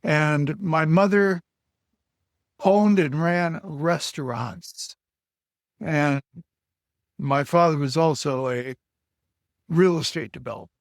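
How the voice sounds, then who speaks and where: An older man from Alaska answering interview questions slowly, pausing often with fillers and short of breath. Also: on the high side, no echo, studio quality. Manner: halting, with many pauses and fillers. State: short of breath, on supplemental oxygen. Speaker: guest. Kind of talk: slow reflective interview answers.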